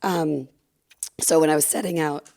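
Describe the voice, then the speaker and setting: A woman addresses a conference audience through a handheld microphone, her voice hoarse and low with a gravelly fry. She is enthusiastic but stumbles, filling gaps with ums and restarting her sentences. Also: steady tone, moderate pace, mellow. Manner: many fillers and restarts, enthusiastic. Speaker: conference speaker. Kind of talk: conference presentation.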